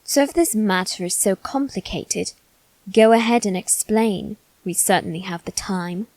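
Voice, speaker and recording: A woman's voice: medium pitch, a little slow, darkish tone, no room sound, close to an everyday voice, rough recording. A woman voicing a haughty, bitter young noble boy in an ASMR roleplay, speaking formally and with cold condescension. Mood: impatient, haughty. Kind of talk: ASMR character roleplay, scripted monologue.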